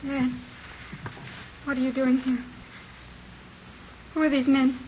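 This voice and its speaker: A bewildered young wife speaks in a high, distressed voice. Her delivery is slow. It is a 1950s radio drama with dull, noisy sound. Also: flat delivery. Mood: bewildered, upset. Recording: muffled, noisy old radio recording.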